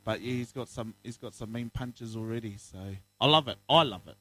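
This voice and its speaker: A man's voice: mid-range voice, a little fast, sharp tone, dry recording, soft background music. Hyped-up New man, laughing guest. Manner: laughing, hyped-up banter with slang like "bro". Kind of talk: casual podcast interview.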